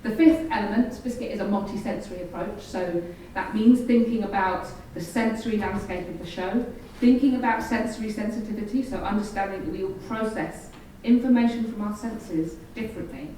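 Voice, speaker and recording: A mellow-voiced woman explains points to a room, with involuntary vocal tics breaking in. She is heard from a distance in a heavily echoing space with faint noise. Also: medium pitch, fairly quick. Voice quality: involuntary vocal tics, interjected stray words. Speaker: panel speaker. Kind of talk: explanatory live presentation. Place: event venue, recorded from afar.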